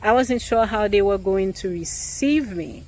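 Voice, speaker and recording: A woman realtor with an accent, talking casually and thoughtfully to the camera, pausing often with ums and restarts. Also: mid-range voice, a little mellow.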